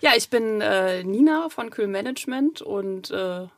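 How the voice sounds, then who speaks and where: A woman's voice: medium pitch, lively intonation, unhurried, no echo. Woman guest in dry studio, conversational. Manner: unscripted, searching for words, a little nervous. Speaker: interview guest, speakers' agency manager. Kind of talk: conversational interview. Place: recording studio. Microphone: close studio microphone.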